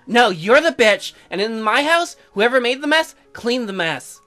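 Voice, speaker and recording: A performer plays a young colonist wife in a visual novel, bossy and easily annoyed. Her scolding is bright, forceful and sarcastic, snapping out in lively swoops over faint music. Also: mid-range voice, a little slow, pitched above the performer's own voice. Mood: bossy, irritated.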